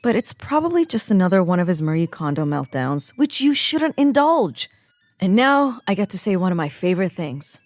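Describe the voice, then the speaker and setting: An actress playing a smug, breezy young agent in training. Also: female voice, on the low side, sing-song, normal speed, a little mellow, no room sound.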